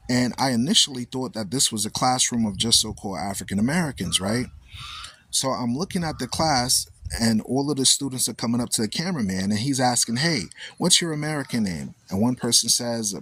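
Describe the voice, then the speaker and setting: Male talk host, husky tone, animated preacher-style delivery. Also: mid-range voice, dry recording. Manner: animated storytelling, repeats "right?" often. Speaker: radio show host.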